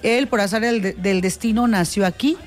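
A woman's voice: medium pitch, normal speed, soft background music. Mexican woman bantering on a radio show. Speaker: radio talk show co-host. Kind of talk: casual, bantering chat.

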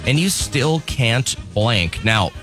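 A man's voice: mid-range voice, big pitch swings, slow pace. 50s guy on morning radio, chatty, laughs a lot, voice bounces around, light music behind. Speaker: radio co-host. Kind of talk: casual morning radio chat.

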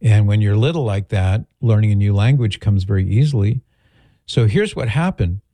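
A middle-aged American man with a very soft, dark-toned voice pitched on the low side. He hosts a talk show and chats casually, wandering reflectively through his stories. The sound is close and dry. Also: expressive, normal speed, dry recording. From greater Los Angeles, United States. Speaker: talk show host answering listener questions. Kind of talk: casual conversational talk, reading out messages.

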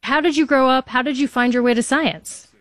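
A woman's voice: sits high, steady tone, fairly quick, no room sound. A woman interviewing a guest, asking curious, probing questions. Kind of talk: conversational interview.

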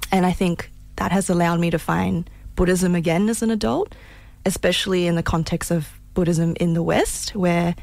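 A woman from Malaysia talks on a community radio show in a low, gravelly voice. She is soft-spoken and searching, pausing often with fillers. The studio sound is dry and close. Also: no room sound. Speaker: radio co-host. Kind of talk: reflective radio conversation.

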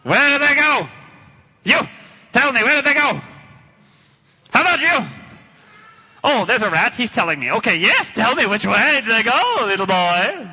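An actor playing a big hairy villain rat in a live children's stage show, husky, theatrical and playing to the audience. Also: male voice, very high voice, very animated, moderate pace, long pauses, bright, forceful tone, heavily acted. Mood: scheming. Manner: blustering, theatrical, plays to the audience. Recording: echoey hall.

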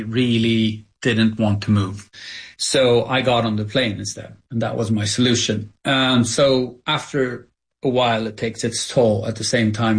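A man's voice: fairly low pitch, vocal fry, husky. A middle-aged man whose first language is Swedish, a company founder answering radio interview questions candidly and searching for words at times.

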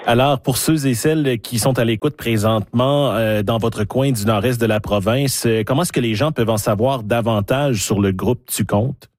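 A man hosting a radio interview, asking measured, polite questions with a few hesitations. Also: mid-range voice, a little mellow, no echo, clean studio sound. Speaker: radio host.

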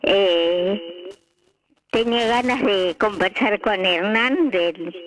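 A woman in her seventies, born in Italy, calling a radio show by phone, talking in a hesitant, emotional way with many halting restarts. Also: medium pitch. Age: 70s. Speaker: caller. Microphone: telephone. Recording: dull phone-line sound.

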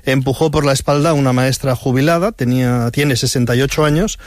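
A man's voice: medium pitch, fast talker, dry recording. A man hosting a satirical news show, commenting in a dry, ironic tone. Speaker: host.

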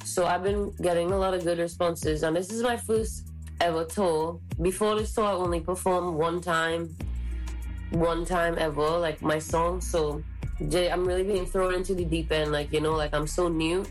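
A young woman from the islands chats about her music in a low, easy voice, quick with fillers and sure of herself. Faint music sits in the background. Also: fairly even intonation, poor audio. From Trinidad and the Caribbean islands. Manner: bubbly, heavy use of "like", confident. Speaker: singer guest. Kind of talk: casual interview chat.

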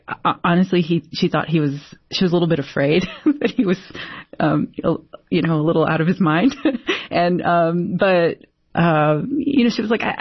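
A Brazilian-born woman poet answering interview questions thoughtfully, with many pauses and fillers. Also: low voice, breathy edge, no room sound. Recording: dull, dry lo-fi sound.

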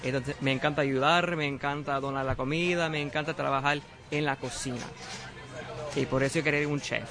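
A young man from Chicago with a Puerto Rican Spanish accent, a culinary student being interviewed outdoors, earnest and warm, repeating words for emphasis. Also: medium pitch, steady tone, brisk. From Chicago, of Puerto Rican heritage. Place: outdoor parking lot.

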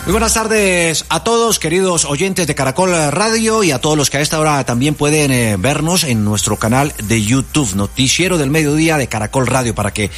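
A man with a Colombian Spanish accent anchoring a midday radio news show, lively and personable, with frequent fillers as he talks. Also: a little high. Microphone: studio microphone.

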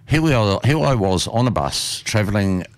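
A man's voice: lowish voice, animated, normal speed, no room sound. A man, hosting a casual chat and rambling through stories with asides. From New Zealand. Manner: rambling, chatty, full of asides. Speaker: host.

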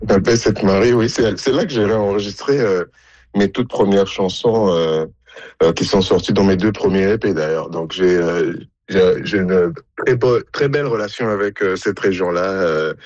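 A man's voice: sits low, a little mellow, no room sound, highs cut off. A man from Congo, a musician guest on a radio interview, answering with many hesitations and restarts.

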